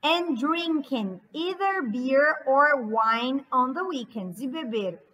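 High, bright-voiced Brazilian woman teaching. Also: expressive, slow pace, low-quality recording. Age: 30s. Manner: chatty, instructive, emphatic repetition. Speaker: online English teacher. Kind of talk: informal English lesson with line-by-line translation.

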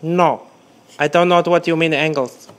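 A man playing a confused foreign caller who speaks in halting, broken English and keeps repeating himself on a prank phone call. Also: a little high, fairly even intonation, fairly bright tone, close to an everyday voice. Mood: excited.

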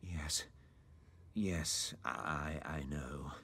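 A man's voice: rumbling low, very slow, bright, forceful tone, a little hiss or hum, heavily acted. An actor playing a timid, reluctant old miserly businessman in an audio drama, hesitant and querulous. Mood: wistful.

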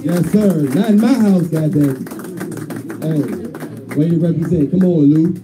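A man hosting a barber battle, loud and teasing, speaking on a handheld mic in a crowded barbershop. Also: fairly high pitch, unhurried, noisy background. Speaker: event host. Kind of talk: hyping up a live crowd. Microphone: handheld microphone.

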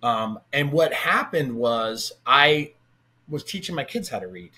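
A man's voice: medium pitch, big pitch swings, measured pace, bright, forceful tone. A middle-aged man hosting a podcast, chatting energetically with a guest over a video call from his home office. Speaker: podcast host. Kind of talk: casual interview chat. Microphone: webcam on a video call.

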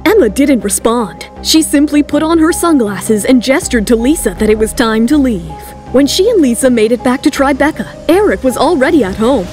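A woman's voice: sits high, a little mellow. A woman reading scripted, dramatic third-person narration for an audio drama. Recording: music bed under the voice. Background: background music.

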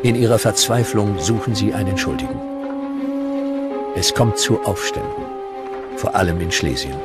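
A man narrating a scripted documentary with dramatic, deliberate storytelling. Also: on the low side, big pitch swings, over loud music.

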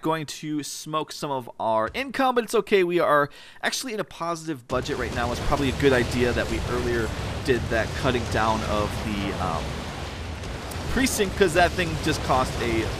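A man talks through his city-building game in a loose, rambling way, full of fillers. His voice sounds a bit worn and raspy, and his pitch swings up and down a lot as he gets excited. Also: noisy background. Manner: chatty, enthusiastic, rambling with fillers. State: slightly raspy, tired voice. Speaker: let's play host. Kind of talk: casual gameplay commentary.

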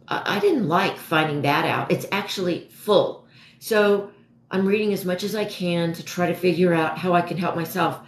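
A woman in her forties with a hoarse voice, chatting casually and haltingly as she answers viewers on a livestream. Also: lowish voice, a little hiss or hum. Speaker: livestream host. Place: dim living room at home, indoors. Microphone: phone propped a step or two away. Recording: echoey room, phone at a distance.

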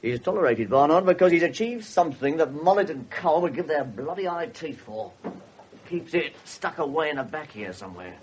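An English actor playing a seasoned, loyal police sergeant with a dry, measured manner in a radio drama. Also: male voice, fairly high pitch, expressive, darkish tone, slight background noise, natural voice. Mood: dry, reproving. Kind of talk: 1970s radio drama acting.

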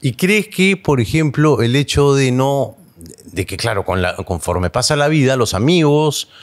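A man's voice: mid-range voice, lively intonation, a little slow. A man hosting an interview, asking short questions with hesitant fillers. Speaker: interviewer host. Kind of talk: casual interview chat.

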